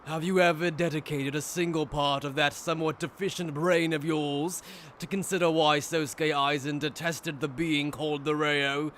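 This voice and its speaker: A man's voice: on the high side, little pitch movement, normal speed, a bit bright, a little hiss or hum, close to an everyday voice. A man playing a smug, taunting aristocratic villain who talks down through rhetorical questions.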